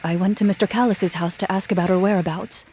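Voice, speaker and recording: A woman playing a composed, dutiful young female champion duelist in an anime game, measured, polite and reserved. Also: mid-range voice, fairly even intonation, darkish tone, soft background music, lightly acted. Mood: wistful. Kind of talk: English anime game voice acting.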